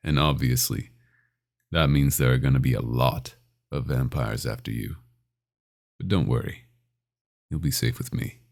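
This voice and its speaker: A man's voice: unhurried, long pauses, exaggerated voice. A man playing a possessive, domineering vampire doctor in a scripted ASMR roleplay, speaking in an intimate, condescending and menacing way. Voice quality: very deep, gravelly, often breathy.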